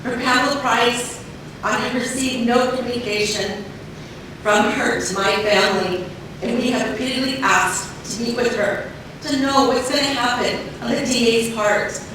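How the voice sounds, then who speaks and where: A woman giving steady, earnest testimony as a public commenter at a board meeting. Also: a little high, moderate pace. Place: large public meeting chamber. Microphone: body camera across the room. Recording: echoey hall heard from far off, noisy.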